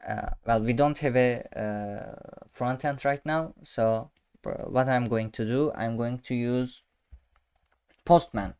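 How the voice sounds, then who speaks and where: Slow, halting man teaching code. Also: mid-range voice, steady tone, darkish tone, clear, resonant tone. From Turkey. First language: Turkish. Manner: halting, many fillers and restarts, self-amused. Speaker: programming tutor. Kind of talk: casual screen-share coding tutorial. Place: small room indoors.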